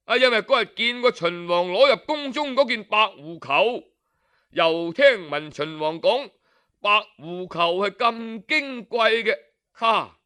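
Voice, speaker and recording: A male storyteller with a high, forceful voice narrates an old tale in colloquial style. His delivery is expressive and unhurried, sprinkled with rhetorical questions. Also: clean tone. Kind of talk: traditional oral storytelling, audiobook narration.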